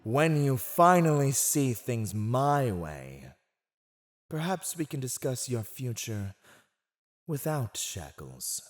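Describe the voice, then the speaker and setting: A man's voice: medium pitch, big pitch swings, slow pace. A man playing a silver-tongued villain in an ASMR roleplay, condescending, theatrical and seductively persuasive as he teases a captive. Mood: smug, tempting. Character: silver-tongued, teasing male villain gloating over a captive. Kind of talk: scripted ASMR roleplay acting.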